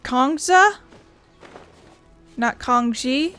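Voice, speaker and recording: Female let's-play host: casual, talkative, thinks aloud, fairly high voice over faint music. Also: lively intonation, drawn-out words, pushed and bright. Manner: chatty, thinking aloud, swearing. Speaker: gaming video host. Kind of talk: casual game commentary.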